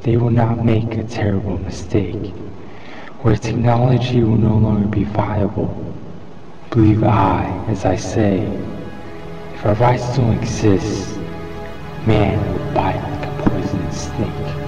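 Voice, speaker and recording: A man reciting a poem in a solemn, measured, declamatory way. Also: steady tone, music in the background. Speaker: poetry reader. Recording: muffled lo-fi with loud background rumble.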